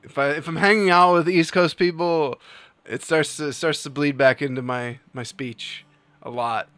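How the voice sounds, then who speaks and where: A man's voice: fairly high pitch, steady tone, normal speed, fairly bright tone, no echo. Chatty male streamer, faint music behind. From New Jersey. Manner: rambling, laughs often, reads chat aloud. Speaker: livestream host. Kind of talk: casual chat with live chat.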